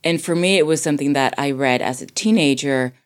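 A woman's voice: low voice, a little fast. A woman from Sweden, talking casually about film as a podcast guest.

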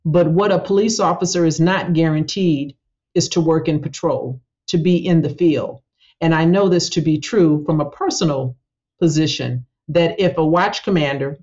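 A woman speaking in a measured, emphatic way as she explains her views in an interview. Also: low-pitched, darkish tone, lo-fi sound.

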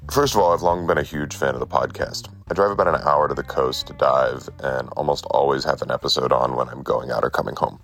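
A man from Southern California, a listener giving an earnest, steady message of thanks to a podcast host. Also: extremely low pitch, brisk, hardly any pauses, faint music behind. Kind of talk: read message.

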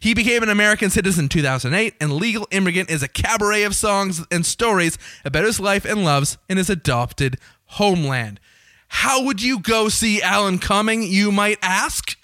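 A young man with a slightly raspy voice, hosting his podcast in a chatty, joking way and swearing casually. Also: high-pitched, expressive, normal speed, bright, forceful tone, dry recording, studio quality. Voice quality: a little raspy. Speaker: podcast host. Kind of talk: casual solo podcast intro.

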